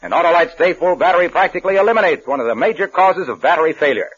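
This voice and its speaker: A man's voice: on the high side, rapid-fire, a bit bright, breathy edge. An actor playing a pompous, bombastic speechmaker in a comic 1950s radio commercial, stressing his phrases grandly. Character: pompous, bombastic small-town speechmaker pitching car batteries. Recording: dull vintage radio recording.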